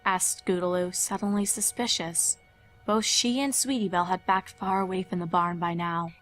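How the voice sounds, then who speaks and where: A woman's voice: fairly low pitch, steady tone, moderate pace, fairly bright tone, soft background music. A woman reading a scripted horror story as a dramatic narrator.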